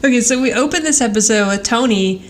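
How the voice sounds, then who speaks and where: A woman's voice: fairly high pitch, fast talker. An American woman co-hosting a podcast in a casual, wry, chatty way as she recaps. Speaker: podcast co-host. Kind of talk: casual chat, episode recap. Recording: faint background noise.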